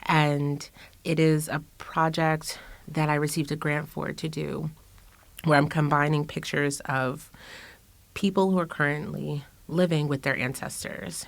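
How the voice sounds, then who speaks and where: A woman's voice: low-pitched, gravelly, dry recording. A middle-aged woman guest in a casual interview, speaking reflectively with fillers and restarts. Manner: reflective, many fillers and restarts, laughs often. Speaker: guest artist.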